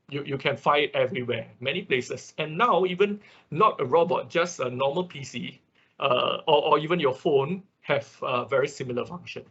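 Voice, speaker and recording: Husky male online instructor, dull audio. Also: medium pitch, pushed and bright. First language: not English. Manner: halting, repetitive explaining with frequent check words. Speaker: instructor of an online robotics class. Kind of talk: informal explanatory lecture. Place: online class call.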